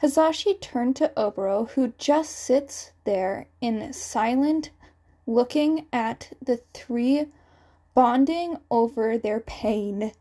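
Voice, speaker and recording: Slow, high-pitched woman narrating fan fiction. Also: dark tone. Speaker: podfic narrator.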